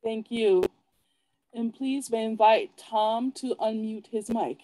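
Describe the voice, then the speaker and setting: A woman's voice: fairly high pitch, fairly even intonation, takes it slowly, dark tone, no room sound, low-quality recording. A woman hosting an online open mic with brief announcements. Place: online video call.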